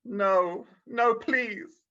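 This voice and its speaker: An actor playing a defensive, anguished old male miser in a radio-style drama read over a video call, halting and pleading. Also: mid-range voice, sharp tone. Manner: halting, pleading, swings in emphasis. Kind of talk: rehearsed radio-script drama reading. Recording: dull lo-fi video call audio.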